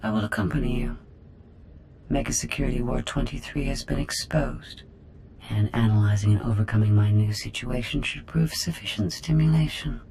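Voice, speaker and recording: An actor voicing a haughty, menacing droid intelligence in a video game, formal, clinical and condescending. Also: male voice, mid-range voice, moderate pace, a little mellow, a little hiss or hum, natural voice, low-quality recording. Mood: coolly clinical. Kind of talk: video game cutscene dialogue.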